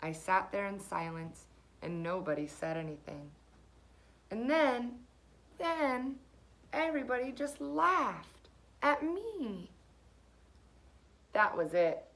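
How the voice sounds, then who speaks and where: A woman's voice: mid-range voice, very animated, slow pace, pauses often, fairly bright tone. A woman reading a children's picture book aloud as a teacher would, expressively and with dramatic emphasis.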